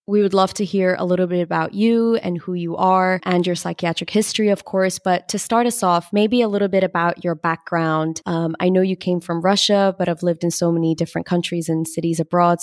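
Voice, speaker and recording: Dry-sounding low-voiced young woman host. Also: no echo. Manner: earnest, enthusiastic, measured. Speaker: podcast host and producer. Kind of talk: scripted introduction then casual interview.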